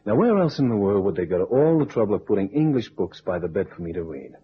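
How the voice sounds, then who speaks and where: An actor playing a stubborn, irritable private investigator in an old-time radio drama, with a brusque, sardonic, halting delivery. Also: male voice, lowish voice, sing-song. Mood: wry, impatient. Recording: dull vintage radio recording, faint hiss.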